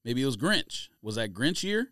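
A man's voice: medium pitch, big pitch swings, normal speed, fairly bright tone, dry recording, studio quality. A man co-hosting a casual podcast, bantering and reading out items with offhand, jokey comments. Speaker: sneaker podcast co-host.

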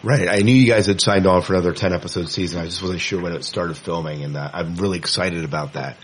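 A man's voice: deep voice, quick pace, background noise. A man co-hosting a casual interview, chatting informally and rambling a little into his questions. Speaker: podcast co-host, interviewer.

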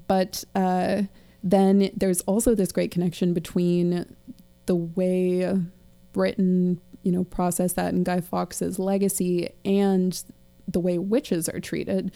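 A woman in her late twenties chats about books in a casual, digressive way. Her voice sits a bit low and sounds dark and mellow, with a slight crackle. She drops in dry, self-mocking asides as she goes. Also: vocal fry. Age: late 20s. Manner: chatty, digressive, wry. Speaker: podcast co-host. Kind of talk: casual book discussion.

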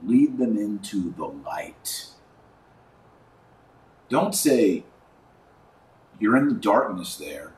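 A man hosting a religious commentary podcast, speaking in an emphatic, didactic, preachy way with deliberate stresses and repetition. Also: on the low side, sing-song, darkish tone. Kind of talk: preachy commentary to camera or microphone. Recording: roomy echo, distant mic, faint noise.

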